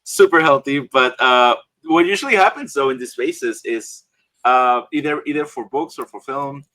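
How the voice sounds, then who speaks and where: Bright-voiced man, halting and hesitant. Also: medium pitch, dry recording. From Guatemala. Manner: hesitant, many fillers and restarts. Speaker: author and illustrator panelist. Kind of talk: casual panel discussion.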